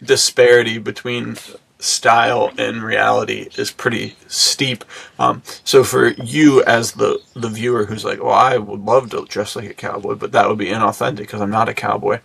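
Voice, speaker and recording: A man's voice: medium pitch, flat delivery, normal speed, gravelly, a bit bright, breathy edge. An American man co-hosting a podcast in a casual, slangy, rambling way, slipping into imagined remarks as he goes. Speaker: podcast co-host. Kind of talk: casual chat.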